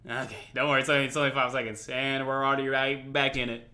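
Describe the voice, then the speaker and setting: A man's voice: medium pitch, flat delivery, fast talker, sharp tone. A man giving casual, slangy reaction commentary, interjecting as host.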